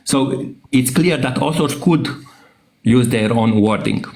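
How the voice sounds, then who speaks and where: A man with a Romanian accent, a native Romanian speaker, arguing in a casual debate and often pausing to search for words. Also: mid-range voice, creaky, a little mellow, echoey room. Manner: halting, searching for words, fillers.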